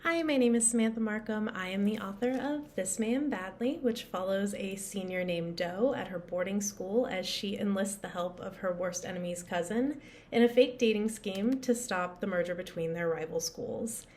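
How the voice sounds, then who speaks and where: A woman with a mid-range voice asks a trivia question from her book. Her delivery is bright and friendly. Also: mid-range voice, normal speed, slight background noise. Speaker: author. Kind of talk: casual trivia presentation.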